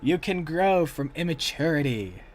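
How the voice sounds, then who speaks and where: A man's voice: on the high side, expressive, unhurried. A man chatting casually as the host of a reaction livestream. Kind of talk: casual reaction stream chat.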